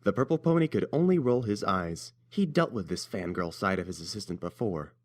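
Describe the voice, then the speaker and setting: A man's voice: lowish voice, expressive, brisk, a little mellow. A man narrating a scripted story as its reader.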